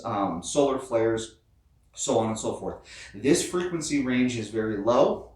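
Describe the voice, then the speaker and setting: An American man hosting an explainer vlog, talking informally and directly to his viewers. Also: medium pitch. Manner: informal, addresses viewers as guys. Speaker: host of a Jeep off-road channel. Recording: very echoey, distant mic.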